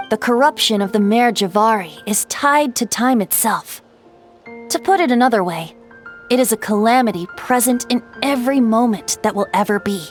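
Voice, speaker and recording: A woman voicing a playful, wistful ancient spirit in the shape of a youthful bard in a video game, with a lilting, teasing, theatrical delivery. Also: a little high. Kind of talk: scripted game character storytelling. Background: background music.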